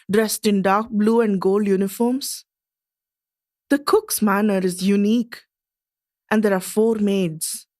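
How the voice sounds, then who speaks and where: A woman's voice: fairly high pitch, darkish tone, lightly acted. An actress playing an admiring, curious Indian lady traveller in Edwardian England, reading her diary with formal, precise expression for a radio play. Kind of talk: scripted dramatic reading of a diary.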